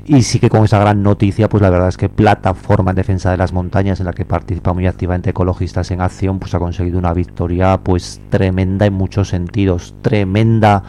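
A man's voice: low-pitched, little pitch movement, fairly quick, hardly any pauses, mellow, slight background noise. A man talking spontaneously as an activist guest in a radio interview.

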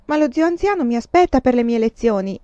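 A woman voicing an eager, dreamy young girl who pleads in a high, plaintive voice, read aloud as an audiobook character. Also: lively intonation, quick pace, warm tone, no room sound, highs cut off, natural voice. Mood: pleading.

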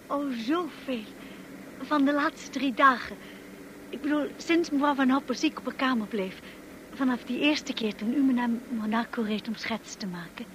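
A woman's voice: high-pitched, moderate pace, a bit bright, a little hiss or hum, low-quality recording. An actress playing a shy, naive woman of twenty-one in a radio drama, hesitant and apologetic in her way of talking. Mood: dreamy, shy. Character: shy, naive twenty-one-year-old young woman, infatuated and nervous.

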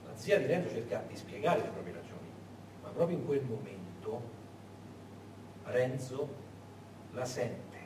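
A man narrating in dramatic theatrical style, deliberate and emphatic, with dramatic pauses. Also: mid-range voice, big pitch swings. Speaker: stage narrator and actor. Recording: echoey, noisy recording from far off.

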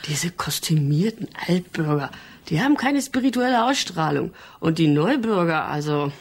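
A woman's voice: deep voice, animated, moderate pace. An actress playing a blunt, sceptical, chatty woman tourist in a German radio drama.

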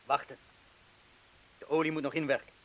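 A male actor playing a cautious, steady criminal accomplice in a radio drama, speaking in a measured, laconic, firm way. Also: on the high side, close to an everyday voice, low-quality recording. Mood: dry, terse. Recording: hissy old radio play recording.

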